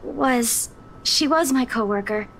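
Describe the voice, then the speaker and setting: A woman playing a subdued, withdrawn young woman in a video game, speaking quietly and hesitantly. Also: a little high, slow pace, a little hiss or hum. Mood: shaken, hesitant. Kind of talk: naturalistic video game voice acting.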